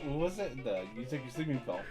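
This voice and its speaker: A man with a mid-range voice co-hosts a live stream. He stays in the background and speaks quietly in short asides that fill in details. Faint music plays behind him. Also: medium pitch, big pitch swings, fast. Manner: quiet, brief interjections filling in details for the host. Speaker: co-host. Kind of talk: casual chat.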